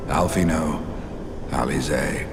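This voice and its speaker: An actor playing a wise, loving old grandfather in a fantasy game, with gravelly voice and slow, solemn, archaic, theatrical delivery. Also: very deep voice, exaggerated voice. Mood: proud, remorseful. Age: older. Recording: long cavernous reverb under music.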